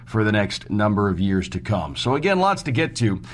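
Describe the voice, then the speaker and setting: A man's voice: fairly low pitch, big pitch swings, moderate pace. A man hosting a sports radio show, running through hockey details in a conversational rundown and recapping key points.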